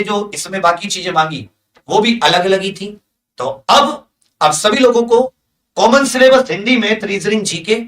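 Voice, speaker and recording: A man's voice: high-pitched, fairly bright tone, recorded from a distance, rough recording. A man with a North Indian accent, an exam coaching teacher briefing students emphatically, asking questions and answering them in a familiar big-brotherly way. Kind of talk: exam update addressed to students.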